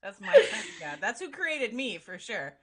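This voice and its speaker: A woman's voice: a little high, huge pitch swings, normal speed, crowd noise. A woman co-hosting a sober-living podcast, joking and laughing with a light Midwestern accent that has a Canadian tinge. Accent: Midwestern American with a Canadian tinge. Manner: joking, laughs often, swears casually. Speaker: podcast co-host. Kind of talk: casual chat.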